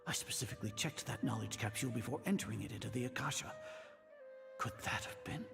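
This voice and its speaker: An imperious old grand sage from a fantasy game, gravelly and highly animated. He speaks in formal, commanding phrases, suspicious and rattled, with music clearly playing behind him. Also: quick pace, exaggerated voice. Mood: brooding, puzzled. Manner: commanding, formal, questioning himself aloud. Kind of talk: video game voice acting.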